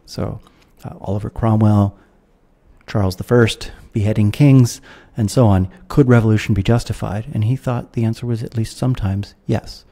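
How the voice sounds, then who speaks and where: A thirty-something American man talks through ideas at a measured, explanatory pace. His voice is dark and mellow with a touch of huskiness. He speaks into a studio mic on a video call. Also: lowish voice, steady tone. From United States. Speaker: guest philosopher. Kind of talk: podcast interview. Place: room at home with guitars. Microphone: studio mic with headphones, video call.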